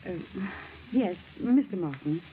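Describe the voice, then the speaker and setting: An actress playing a courteous, efficient American secretary in a 1940s radio drama, polite, businesslike and a little flustered. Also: female voice, on the low side, expressive, unhurried. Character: efficient, courteous private secretary, eager about her work. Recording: tinny, noisy old radio recording.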